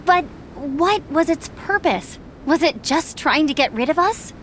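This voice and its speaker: A woman voicing a small, excitable childlike companion in a video game, bubbly and inquisitive. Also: sits high, unhurried, slight background noise. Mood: curious.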